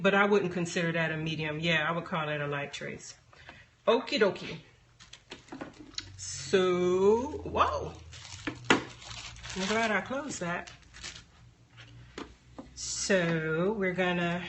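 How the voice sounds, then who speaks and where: A woman chatting casually on a live stream as she demonstrates a craft, unhurried and thinking aloud as she works. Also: fairly low pitch, expressive. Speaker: soap maker hosting a live stream. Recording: background noise, live phone stream.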